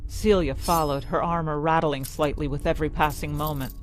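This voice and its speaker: A woman storyteller reading scripted fantasy narration in a measured, dramatic way. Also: sits low, steady tone, slight background noise, poor audio. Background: music.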